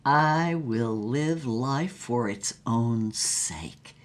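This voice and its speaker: Slow, low-voiced theatrical film diva. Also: female voice, animated, full character voice. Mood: indulgent, playful. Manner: grandiose, languid, theatrical delivery with drawn-out words. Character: Mynx Devlin, grandiose theatrical female film star reminiscing. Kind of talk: dramatic audio fiction monologue.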